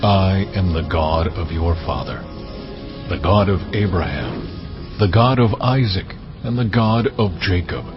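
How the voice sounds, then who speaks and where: An actor playing God as a solemn, commanding divine voice, proclaiming slowly and with weight. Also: male voice, low voice, animated. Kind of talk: dramatized scripture reading. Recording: muffled, over loud cinematic music.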